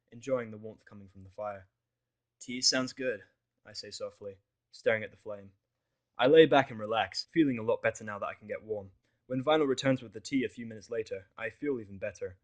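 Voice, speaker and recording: A man reading scripted first-person fiction as the narrator of an audio story. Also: lowish voice.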